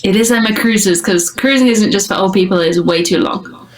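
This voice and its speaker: A woman's voice: medium pitch, normal speed, a loud crowd, rough recording. A woman, a guest in a casual interview who talks candidly and laughs often. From United Kingdom. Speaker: guest, cruise YouTuber.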